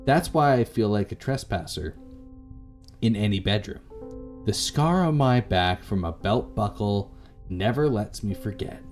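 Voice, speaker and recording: A man's voice: lowish voice, expressive, measured pace, a little mellow. A man reading a visual novel aloud as a let's player, narrating dramatically. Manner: dramatic, rereads stumbled lines. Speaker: let's play YouTuber. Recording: music playing under the voice. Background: game music.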